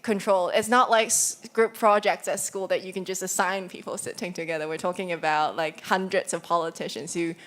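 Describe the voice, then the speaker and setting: A young woman from Hong Kong answering audience questions at a conference, casual and quick to correct herself. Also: on the low side. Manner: casual, self-correcting, laughs easily. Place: conference room.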